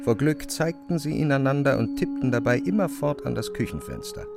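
Measured male narrator over music. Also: medium pitch, animated, creaky. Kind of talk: scripted literary narration.

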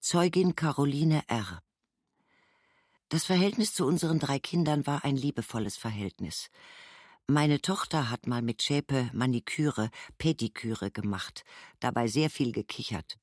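A woman's voice: very deep voice, animated, a little slow, a little mellow, dry recording, clean studio sound, full character voice. An actress playing a matter-of-fact mother giving court testimony in a documentary radio play, in a sober, clipped recital. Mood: matter-of-fact recollection. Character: woman trial witness, a mother recalling holiday friends, matter-of-fact.

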